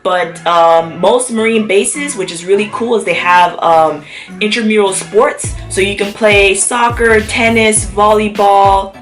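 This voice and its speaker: A woman's voice: medium pitch, a little slow, faint music behind. A woman vlogger talking casually to camera, chatty with many fillers, sick with a cough.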